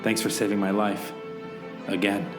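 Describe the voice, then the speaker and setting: A man's voice: on the low side, little pitch movement, normal speed, close to an everyday voice. An actor playing an earnest, melodramatic hero who narrates his own adventure in an overwrought, theatrical way in a parody radio drama. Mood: tender, relieved. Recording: roomy echo, music underneath.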